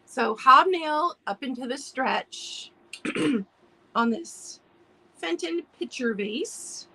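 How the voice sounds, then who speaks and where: A slightly high-voiced woman hosts a live vintage sale, chatting casually and restarting her sentences. Her voice is a little hoarse, and she clears her throat now and then. She greets viewers by name between item descriptions. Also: expressive, slow, pushed and bright, rough recording. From United States. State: losing her voice from allergies. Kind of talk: casual live-stream selling chat.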